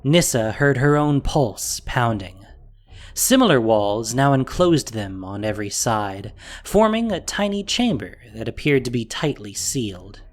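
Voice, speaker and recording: A man's voice: medium pitch, sing-song, unhurried. A man narrating a fantasy audio drama in a scripted, dramatic storytelling style.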